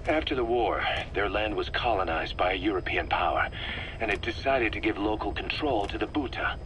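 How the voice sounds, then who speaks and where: A video game intelligence officer with a low, sharp-edged voice. He briefs his commander in a measured, grave, expository way. There is a light layer of noise on the recording. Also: lively intonation. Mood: sober, explanatory.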